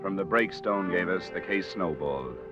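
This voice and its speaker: A man playing a low-pitched, hard-boiled American investigator in an old radio noir. His delivery is terse and matter-of-fact, on a muffled recording with prominent music. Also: moderate pace, lightly acted. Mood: dry, matter-of-fact. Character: hard-boiled freelance male insurance investigator. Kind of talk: 1950s radio noir narration and dialogue.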